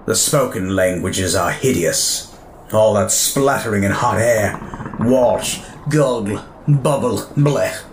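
A vain alien warlord in a science fiction audio drama. He speaks slowly in a lowish voice, savouring his words with theatrical menace over a faint bed of ambient noise. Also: rough recording. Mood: disdainful musing. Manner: theatrical, musing, grandiloquent. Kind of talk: audio drama villain acting.

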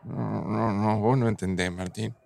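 An agitated argentine dude, anxious n guilty, croaky voice, natural drama dialogue. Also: male voice, on the low side, steady tone, a little slow, darkish tone, faint music behind. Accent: Argentine, Buenos Aires. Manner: hesitant, agitated. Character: anxious, guilt-ridden man in love, confiding in his friend.

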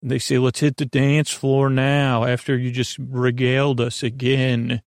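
A gravelly man with plenty of vocal fry hosts a sleep podcast in a dry, close sound. He meanders and stammers, doubling back on his words. His delivery is self-deprecating and built for bedtime listening. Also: medium pitch, little pitch movement, unhurried, dry recording. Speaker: podcast host. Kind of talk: rambling bedtime podcast monologue.

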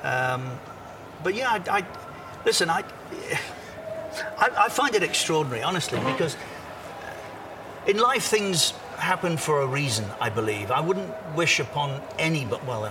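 A man in his sixties, an interview guest, giving measured, self-assured answers with occasional restarts. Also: a little high, expressive, distant mic. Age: 60s. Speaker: interview guest, former broadcaster. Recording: outdoor location, audible city noise.

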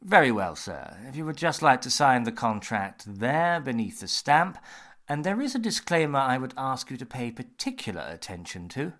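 A man's voice: mid-range voice, very animated, normal speed, fairly bright tone, pitched above the performer's own voice. A man doing a polite, formal clinic assistant.

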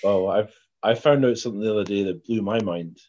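A man chatting casually in a hesitant, halting way. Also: on the low side, a little mellow. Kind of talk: casual chat. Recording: dull, muffled lo-fi sound.

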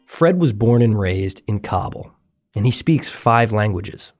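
A man hosting a podcast, reading scripted narration in a measured documentary way. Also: fairly low pitch, expressive, a little mellow, faint music behind. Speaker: podcast host.

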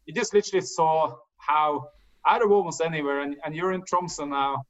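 A man in his forties, a podcast guest telling his story in a thoughtful, measured way. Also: a little high, a bit bright, dull audio. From Norway. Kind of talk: casual interview answers.

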